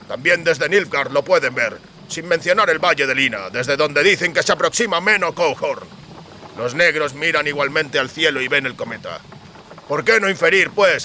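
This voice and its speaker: Man playing a bright, sardonic mercenary soldier. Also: on the high side, normal speed, a little hiss or hum. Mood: coolly challenging. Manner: sardonic, cutting. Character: scornful, worldly male mercenary soldier, mocking know-it-all. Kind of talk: audiobook character acting.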